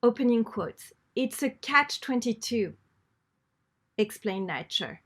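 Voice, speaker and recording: A woman reading an article aloud in a measured, unrehearsed way, repeating phrases when she stumbles. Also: on the high side, lively intonation, a bit bright. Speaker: reader.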